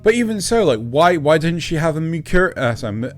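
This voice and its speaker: A man's voice: fairly high pitch, big pitch swings, a little fast, faint music behind. A man from Wales with a Kent English accent, co-hosting a casual podcast chat and talking emphatically, often asking "right?". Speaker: podcast co-host.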